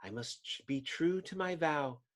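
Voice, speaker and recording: A man's voice: on the high side, measured pace, natural voice. Man voicing a resolute, dramatic young superheroine. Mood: solemn resolve.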